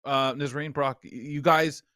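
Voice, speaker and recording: A man's voice: mid-range voice, steady tone, a little slow, sharp tone, clean tone, dry recording, clean studio sound. A man hosting a talk show in a chatty, rambling way that often restarts and circles around.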